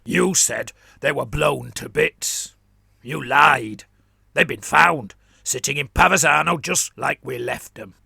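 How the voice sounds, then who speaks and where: A man voicing a grinning, brutal male orc warboss who growls and gloats in rough slang. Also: fairly high pitch, normal speed, pushed and bright, no room sound, studio quality, heavily acted. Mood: accusing.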